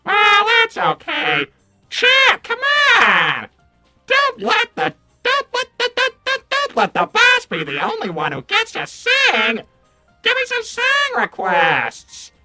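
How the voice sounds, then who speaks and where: Cartoon robot sidekick: brash, showy, dim; wildly swooping pitch, unhurried, faint music behind. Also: male voice, wildly swooping pitch, sharp tone, exaggerated voice. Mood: boastful, cheeky. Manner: brash, showy, stuttering repeats. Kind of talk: improvised in-character livestream roleplay.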